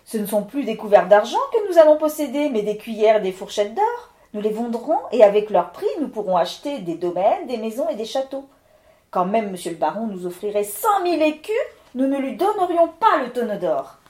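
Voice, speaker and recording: A woman's voice: fairly high pitch, sing-song, darkish tone. A woman doing an eager peasant wife. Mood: excited. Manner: eager, hurried. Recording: roomy echo.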